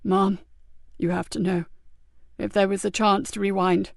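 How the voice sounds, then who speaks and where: A woman voicing a badly injured male police sergeant, speaking weakly and haltingly. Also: mid-range voice, level tone, dry recording, studio quality, lightly acted. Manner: weak, halting, quiet. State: injured, struggling for breath. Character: wounded male police sergeant, loyal and fading.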